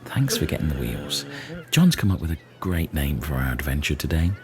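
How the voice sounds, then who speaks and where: A man's voice: a bass voice, big pitch swings, fairly quick, vocal fry, crowd noise, exaggerated voice. A man playing a pompous, drawling older castaway who talks down to the others. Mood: patronising. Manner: grandiose, drawling, patronising. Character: pompous very deep-voiced older castaway leader. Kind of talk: amateur comedy audio drama.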